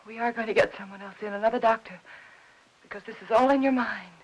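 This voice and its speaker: An actress playing an anxious, pleading wife in an old-time radio melodrama, earnest and imploring, on a hissy old recording. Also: female voice, a little high, fast talker, close to an everyday voice, rough recording. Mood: anxious, firm. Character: anxious, pleading wife accused by her husband.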